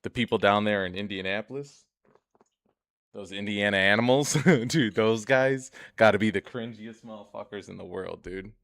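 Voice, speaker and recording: A man's voice: on the low side, moderate pace, fairly bright tone, no room sound. A young man streaming a game, rambling and swearing as he chats to viewers about himself. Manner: rambling, profane, chatty, often laughs. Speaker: gaming streamer. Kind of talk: casual gameplay commentary.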